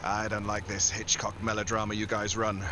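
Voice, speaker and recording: An actor playing a wry, tough American secret agent in an old-time style radio drama. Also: male voice, lowish voice, completely flat delivery, normal speed, noisy background, close to an everyday voice. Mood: wry, skeptical. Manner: wry, sardonic.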